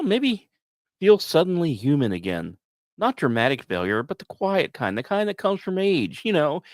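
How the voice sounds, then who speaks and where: A man's voice: a little high, no echo. A man hosting a podcast, reading scripted space news like a dramatic story with conversational asides. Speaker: podcast host.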